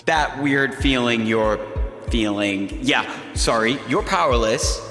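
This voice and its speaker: A man's voice: mid-range voice, slow pace. A man playing a bossy, gleeful dating show host in a video game, with a campy, dramatic announcer delivery. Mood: smug, coaxing. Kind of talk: scripted game dialogue and show narration. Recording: echoey hall reverb with loud music.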